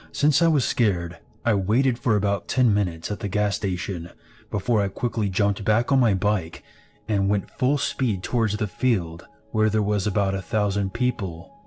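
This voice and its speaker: Deep-ish guy reading scary stories, calm and steady, music behind him. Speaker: narrator. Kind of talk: scripted horror story narration. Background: background music.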